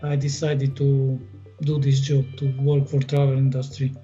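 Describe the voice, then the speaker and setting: A man from eastern Slovakia with a Slovak accent, a tour guide speaking slow, hesitant English and searching for words. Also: medium pitch, monotone, warm tone, muffled recording, faint music behind. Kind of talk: casual interview chat.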